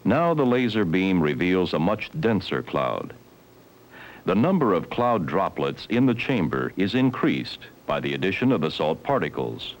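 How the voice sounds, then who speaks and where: Male documentary narrator, low and formal, varied intonation, vintage 1970s film sound. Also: very animated, slight background noise, rough recording. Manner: measured, formal, instructional. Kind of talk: scripted educational film narration.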